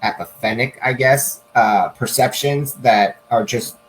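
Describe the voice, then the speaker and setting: Skeptical mid-pitched man with faint hum. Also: unhurried, low-quality recording. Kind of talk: casual questioning in a debate.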